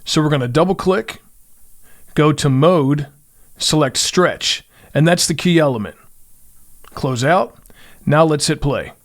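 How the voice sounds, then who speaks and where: A man's voice: fairly high pitch, unhurried, long pauses. A man hosting a music production tutorial, narrating step-by-step instructions in short, simple phrases.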